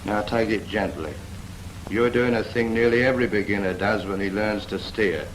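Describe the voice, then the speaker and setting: Brief: male actor as firm, patient British naval instructor, lowish voice, brisk teaching questions, noisy 1940s film soundtrack. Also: natural voice. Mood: instructive. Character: patient, brisk Royal Navy instructor teaching a novice helmsman. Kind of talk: scripted 1940s training film dialogue.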